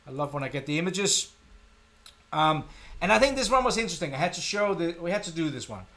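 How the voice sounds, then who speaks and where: Higher-voiced Danish man teaching live. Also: expressive, fast, sharp tone. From Denmark. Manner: informal, self-deprecating. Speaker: tutorial live stream host. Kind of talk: casual live software tutorial, thinking aloud.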